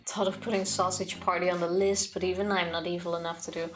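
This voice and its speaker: A woman's voice: lowish voice, little pitch movement, quick pace. A woman streaming, in casual chat before a game, with a blunt, dryly joking way of talking. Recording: music playing behind the voice. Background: music.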